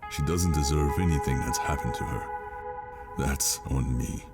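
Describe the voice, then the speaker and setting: Weary protective dad played by a man, extremely low voice, firm and grave, dramatic scene with music underneath. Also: moderate pace. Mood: earnest. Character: weary protective father fleeing with his child. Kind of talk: dramatic film-style acting. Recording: music behind the voice.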